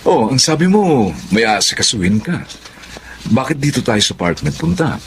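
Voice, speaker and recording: A man's voice: medium pitch, big pitch swings, moderate pace, gravelly. A man playing a loyal, good-natured but uneasy husband in a Filipino radio drama, speaking earnestly and expressively.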